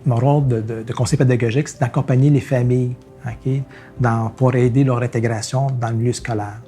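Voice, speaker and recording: A man's voice: medium pitch, fairly even intonation, brisk, mellow, soft background music. A man, an educational adviser explaining his role in an interview.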